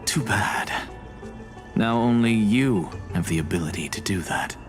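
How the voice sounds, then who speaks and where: A man's voice: on the low side, close to an everyday voice. A man voicing a scornful young sage. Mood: cool. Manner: scornful, dismissive, measured. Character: arrogant scholar sage, young man, sharp-tongued. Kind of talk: video game voice acting. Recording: game audio with music behind.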